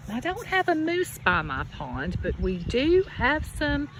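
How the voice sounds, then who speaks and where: A woman's voice: high-pitched, huge pitch swings, measured pace. A woman reading aloud to young children as a teacher, instructive and addressing them directly. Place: outdoors by a farm pond. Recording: outdoor recording with background nature noise. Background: running creek, animal sounds.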